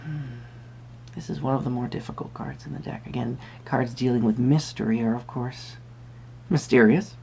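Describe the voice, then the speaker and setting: A performer playing a whimsical magical tarot reader, musing hesitantly in a soft-spoken roleplay with a creaky voice. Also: medium pitch, steady tone, a little hiss or hum, close to an everyday voice. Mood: musing, gentle. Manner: hesitant, musing, pausing with hums.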